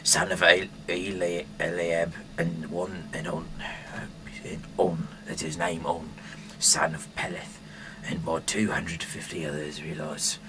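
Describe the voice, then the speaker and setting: Very low-voiced, halting man, noisy recording. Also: level tone. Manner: halting, bumbling, irreverent. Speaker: amateur reader of scripture, self-styled rock singer. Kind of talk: rambling, informal reading aloud with asides. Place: at home. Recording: noisy amateur home recording.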